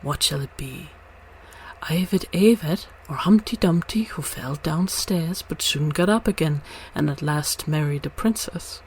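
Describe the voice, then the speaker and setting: A woman's voice: low-pitched, unhurried, low-quality recording. A woman voicing a genial, plump old man telling tales in a storybook, with background noise. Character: jolly little fat old man, storyteller. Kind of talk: storybook character voice.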